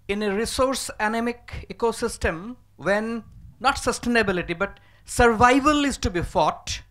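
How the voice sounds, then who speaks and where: A man from a civil society group making a formal, deliberate comment from a conference audience. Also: high voice, fairly bright tone. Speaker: audience member.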